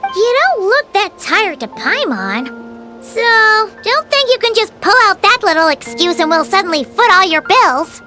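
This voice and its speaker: Super squeaky childlike fairy sidekick in a game, cheeky n blunt, music behind. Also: female voice, measured pace, full character voice. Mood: sceptical scolding. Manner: cheeky, animated, refers to herself by name. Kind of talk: video game character acting.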